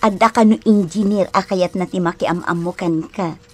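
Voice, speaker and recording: An actress with a Filipino accent playing a giggly, teasing matchmaker friend in a radio drama. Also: female voice, lowish voice, fairly even intonation, normal speed, natural voice. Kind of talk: Ilocano radio drama acting.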